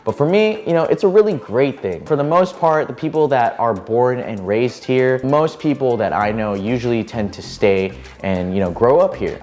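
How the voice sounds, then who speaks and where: A man's voice: medium pitch, a little mellow. A young man from Hawaii, a real estate agent hosting a casual vlog, talking in an upbeat, chatty way full of "you know" fillers.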